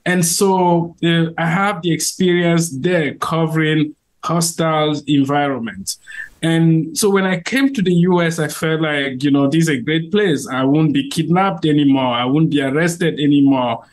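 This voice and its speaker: Cameroonian man, slightly high voice. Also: moderate pace. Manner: earnest, with frequent fillers and restarts. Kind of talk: interview answers.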